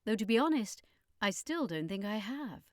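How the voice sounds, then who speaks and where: A woman voicing a posh, refined female siren with an upper-class English accent, measured and melancholy, in an audiobook. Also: mid-range voice, sing-song, dry recording, studio quality, natural voice. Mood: wistful, candid.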